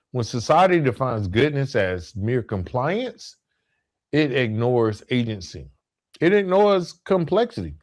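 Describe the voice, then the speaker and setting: Emphatic man hosting a motivational podcast. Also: mid-range voice. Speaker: podcast host. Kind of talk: solo podcast monologue, motivational and explanatory.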